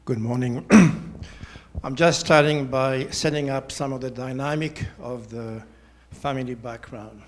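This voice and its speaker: A man, giving a prepared personal testimony in a measured, deliberate way. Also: medium pitch, fairly even intonation, slight background noise. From Switzerland. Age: 70s. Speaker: church member giving testimony.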